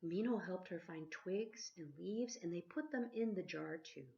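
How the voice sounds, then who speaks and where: A woman reading a children's picture book aloud expressively, like a teacher, pausing to ask her listeners questions. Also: fairly low pitch, vocal fry, darkish tone. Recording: dull lo-fi sound.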